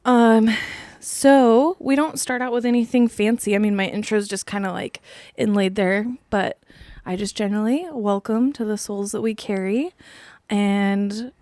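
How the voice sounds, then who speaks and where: A woman's voice: medium pitch, steady tone, unhurried, dark tone, dry recording. A woman in her thirties hosting a podcast, chatting casually with a friend and laughing often. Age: 30s. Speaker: podcast host. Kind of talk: casual interview conversation.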